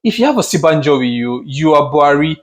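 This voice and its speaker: A man's voice: on the high side, expressive, quick pace. Nigerian man in casual chat. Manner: chatty, laughs easily, repeats words when starting a thought. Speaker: co-host of a family channel.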